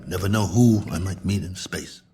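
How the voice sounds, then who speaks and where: An actor playing a lonely, bored astronaut colonel in a radio drama, speaking in a wry, musing, self-mocking manner. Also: male voice, low-pitched, normal speed, mellow, a little hiss or hum, lightly acted. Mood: dry, routine. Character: lonely, bored male astronaut colonel, lone probe pilot. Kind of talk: radio drama acting, spoken log entries.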